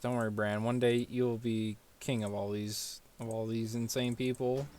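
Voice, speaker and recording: A man's voice: medium pitch, little pitch movement, moderate pace. A young man giving casual, jokey commentary on a game playthrough, often mimicking lines. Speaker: gaming streamer. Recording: loud music behind the voice.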